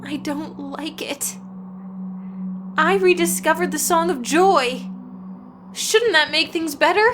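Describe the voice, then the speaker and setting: A woman's voice: sits high, slow, long pauses, noisy background. A woman voicing a troubled, earnest teenage village girl in a fantasy audiobook. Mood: doubtful. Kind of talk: audiobook character acting.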